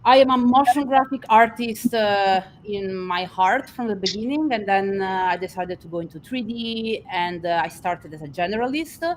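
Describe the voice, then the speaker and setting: Italian-accented woman guest, mid pitch, halting but cheerful; faint rumble in the line. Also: poor audio. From Italy. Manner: hesitant, many fillers, cheerful. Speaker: guest, head of texturing. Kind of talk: panel self-introduction. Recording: faint noise with low rumble.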